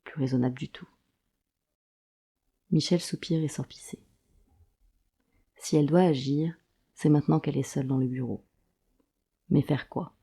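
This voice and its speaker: A woman narrating a scripted audio drama in a deliberate, wry storytelling manner. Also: sits low, fairly even intonation, gravelly, dark tone, husky.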